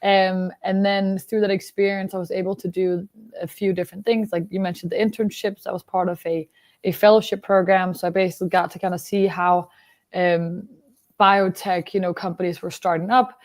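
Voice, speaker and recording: A young woman from Denmark, a biotech startup founder, answering interview questions in a relaxed, explanatory way, full of fillers. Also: medium pitch, moderate pace. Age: young adult.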